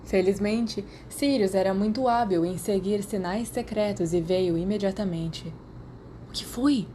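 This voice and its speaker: A woman's voice: medium pitch, measured pace, warm tone, a little hiss or hum. A woman with a Brazilian accent reading scripted fiction as an audiobook narrator. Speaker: podfic narrator.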